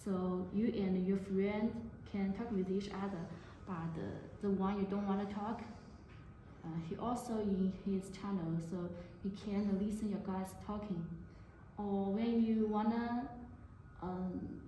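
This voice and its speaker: A woman giving a product demonstration to camera in halting, non-native English phrasing. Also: medium pitch, steady tone, warm tone. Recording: very echoey room, faint noise.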